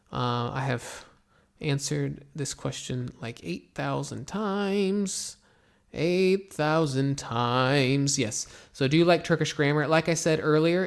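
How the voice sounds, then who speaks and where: An American man from Florida, an English teacher, reads viewer questions aloud and answers them in a relaxed, rambling way with many pauses. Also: fairly high pitch, vocal fry. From Florida, USA. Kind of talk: casual Q&A, reading comments aloud.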